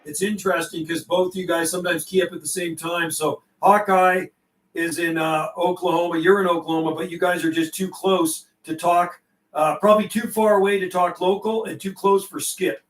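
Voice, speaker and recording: A man's voice: high-pitched, steady tone, moderate pace. A man hosting a CB radio livestream, chatting casually in slang and repeating and relaying messages between operators. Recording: roomy, distant microphone.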